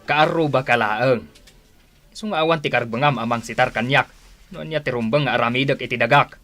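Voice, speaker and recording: An actor with an Ilocano accent playing a greedy, scheming older brother in a radio drama, mocking and laughing. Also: male voice, medium pitch, brisk, sharp tone, faint music behind, natural voice. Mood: scornful. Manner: mocking, frequent sneering laughs.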